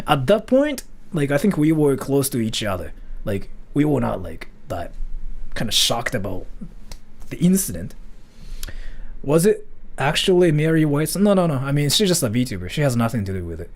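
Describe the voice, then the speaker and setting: A man from Japan, rambling through a casual story on a livestream with lots of filler words. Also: medium pitch. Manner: rambling, heavy use of "like" fillers. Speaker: streamer.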